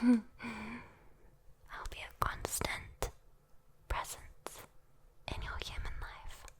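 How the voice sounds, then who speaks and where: A British woman voicing a sweet, teasing, doting demon girl in a soothing ASMR bedtime roleplay, whispering gently with coy endearments. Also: mid-range voice. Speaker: ASMR voice performer.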